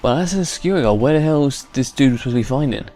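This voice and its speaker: A man's voice: medium pitch, lively intonation, fast, dark tone, faint music behind. Man giving casual game commentary. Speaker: gaming video host.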